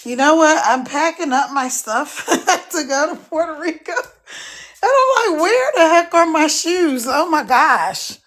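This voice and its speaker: An older woman with a twang talks in a high, scratchy voice, hoarse from hours of talking. She chats in an easygoing, folksy way, calling her viewers "y'all." She takes her time, speaking slowly and unhurriedly. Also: expressive, a bit bright. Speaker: live streamer. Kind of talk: casual live-stream chat. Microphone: phone.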